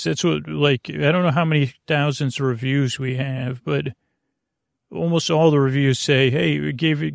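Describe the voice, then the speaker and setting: Very creaky, halting man, dry close recording. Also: on the high side, no room sound. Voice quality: creaky, gravelly. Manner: halting, digressive, constantly restarting mid-thought. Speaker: host of a bedtime sleep podcast. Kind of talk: rambling, meandering bedtime monologue. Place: small closet at home.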